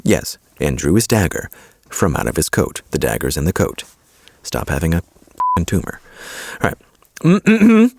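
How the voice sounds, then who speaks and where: A man's voice: deep voice, huge pitch swings, a little slow, noisy background. A man reading scripted audiobook narration and repeating lines in retakes.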